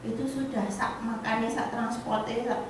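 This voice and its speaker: A woman's voice: on the high side, little pitch movement, normal speed, background noise. A middle-aged woman, an insurance agent giving a chatty motivational talk to colleagues and laughing often. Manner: chatty, laughs often, addresses audience as friends. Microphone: camera or phone at a distance. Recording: echoey room, far from the mic.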